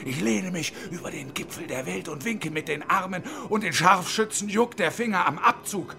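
A man's voice: sits high, moderate pace, gravelly, pushed and bright, full character voice. An actor in his fifties playing a bitter, sarcastic unemployed engineer turned hostage-taker in a radio drama, domineering and theatrical. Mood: defiant, bitter. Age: 50s.

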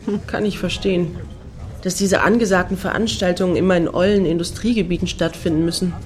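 A woman's voice: lowish voice, steady tone, brisk, darkish tone, slight background noise, natural voice. A woman acting in a satirical radio play as a wry, opinionated friend who comments knowingly. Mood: knowing, conciliatory.

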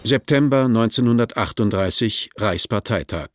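A man's voice: lowish voice, moderate pace, dry recording, clean studio sound. A man announcing dates and places as scripted scene headings for an audio documentary.

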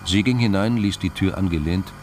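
A man's voice: low voice, fairly quick, faint music behind. A man delivering scripted suspense narration as the narrator of a radio drama.

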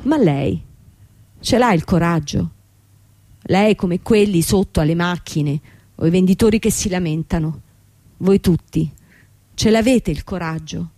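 An actress playing a pressured young company boss in a theatrical radio drama, formal and insistent, coaxing and condescending. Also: female voice, fairly low pitch, unhurried, pauses often, mellow, no room sound. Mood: bitter, cold. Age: young adult.

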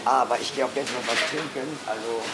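An older woman with a hoarse, raspy voice, chatting candidly in short, brusque replies. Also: rumbling low, brisk, over music, low-quality recording. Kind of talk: candid street conversation.

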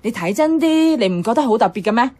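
A woman's voice: fairly high pitch, lively intonation, fast, a little mellow. An actress playing a nostalgic, adventurous young woman in a radio drama, chatting with a Hong Kong Cantonese accent. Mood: excited wonder. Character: nostalgic, playful, adventurous young woman revisiting her childhood neighbourhood.

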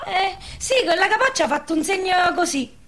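A woman's voice: very high-pitched, animated, brisk, a bit bright, heavily acted. A woman playing a naive, bashful village girl in a wartime radio drama, with a southern Lazio dialect accent and a hesitant, defensive way of talking. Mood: flustered, bashful. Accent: central Italian, southern Lazio dialect. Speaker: radio play actress.

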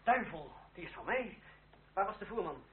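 A man playing a brisk, authoritative revolutionary officer who gives curt orders in an old radio drama. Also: high-pitched, very animated. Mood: businesslike command. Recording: noisy, tinny old radio play recording.

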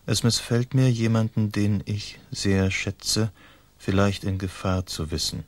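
Languid noble gentleman, radio drama, formal and faint-hearted, close dry recording. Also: fairly low pitch, fairly even intonation, a little slow, no room sound. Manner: formal, mannered, polite. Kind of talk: German radio drama acting.

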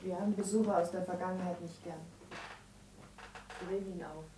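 A woman acting a stern, protective daughter in a radio drama. She is far from the microphone in an echoing space, under loud noise. Her delivery is curt and cool. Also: fairly low pitch, steady tone, brisk, natural voice. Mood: curt, guarded. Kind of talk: German radio play acting. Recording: distant, echoey, very noisy radio recording.

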